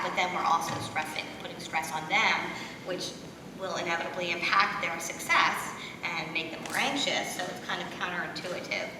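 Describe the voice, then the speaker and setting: A woman speaking conversationally as a panelist in a discussion. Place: school theater stage at a panel table. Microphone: distant from the speaker. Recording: echoey hall, distant mic, rumbling noise.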